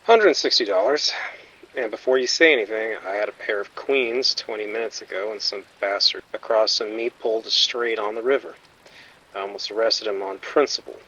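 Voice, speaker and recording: A man's voice: lowish voice, a little fast, bright, forceful tone, muffled recording, slight background noise. A man playing a wisecracking, grumbling undercover vice cop in a radio drama, with sardonic delivery. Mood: grumbling, satisfied. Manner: sardonic, complaining. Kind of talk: naturalistic police radio drama acting.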